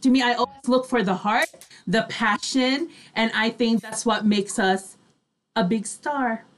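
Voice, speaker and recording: Lady hosting a chatty radio show, really high voice, slow and gushy. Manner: effusive, gushing. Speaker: online radio show host. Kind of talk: casual chat.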